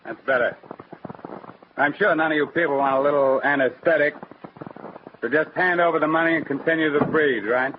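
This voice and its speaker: An actor playing a brazen, smugly menacing gang boss giving orders in a 1940s radio crime drama. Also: male voice, fairly high pitch, normal speed, pauses often, lightly acted. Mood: cool menace. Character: brazen male gang boss, cool and menacing bank robber. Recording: muffled, noisy old radio recording.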